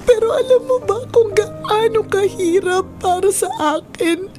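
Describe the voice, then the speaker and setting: A woman playing an anguished, weary, self-sacrificing middle-aged mother in a Filipino radio melodrama, pleading in a drawn-out emotional way. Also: extremely high pitch. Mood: tearful anguish. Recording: loud music under the voice.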